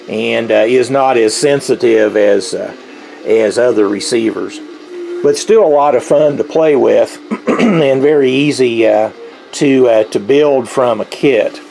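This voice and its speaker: A middle-aged man with a creaky, gravelly voice of medium pitch walks through a hands-on demonstration. He explains things in a halting, unscripted way, like a hobbyist. A radio plays faintly behind him. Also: a little mellow, poor audio. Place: indoors, at a tabletop radio. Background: shortwave radio playing faintly.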